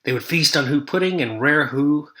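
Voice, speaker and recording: A man's voice: fairly high pitch, normal speed, bright, forceful tone. A man reading a children's story aloud like a teacher, theatrical and emphatic. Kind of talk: expressive storybook read-aloud.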